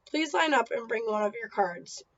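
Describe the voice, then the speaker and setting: A woman's voice: a little high, expressive, moderate pace, a bit bright, muffled recording. A woman voicing a stern schoolteacher rumored to be a vampire, speaking with a Transylvanian accent.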